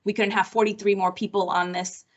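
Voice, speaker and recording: A woman's voice: medium pitch, flat delivery, a little fast. A woman with Sri Lankan roots moderates a roundtable in a mid-range voice. She affirms each guest and hands the floor on. The audio is muffled and lo-fi. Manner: affirming, introduces speakers.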